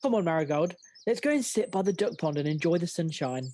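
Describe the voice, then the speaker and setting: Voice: mid-range voice, animated, a little fast, mellow, a little hiss or hum, lightly acted. A performer playing an easygoing, romantic young man in a radio pantomime.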